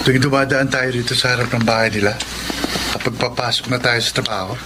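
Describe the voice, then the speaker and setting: A man's voice: mid-range voice, fairly even intonation, fast talker, noisy background, natural voice. An actor playing a teasing, gossipy male workmate in a radio drama with music behind him. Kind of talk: lively radio drama acting.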